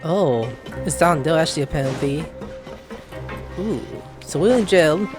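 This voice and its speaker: A woman's voice: sits low, lively intonation, unhurried, over music. A woman giving casual gameplay commentary, thinking aloud in a playful, exclamatory way. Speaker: gaming video host.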